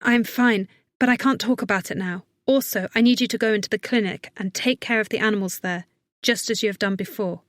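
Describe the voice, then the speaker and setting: A woman's voice: mid-range voice, brisk, dry recording, lightly acted. A woman voicing a worried but capable woman vet in an audiobook, giving firm, urgent, reassuring instructions. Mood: brisk, urgent. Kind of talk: audiobook character acting.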